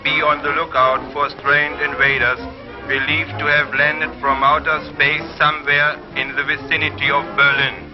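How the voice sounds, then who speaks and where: A man acts out a stern German police dispatcher in a vintage serial. His voice is a little high and his delivery deliberate. Loud music plays behind him on a dull, lo-fi soundtrack. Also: level tone, natural voice. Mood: stern, urgent. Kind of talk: 1950s serial police bulletin acting.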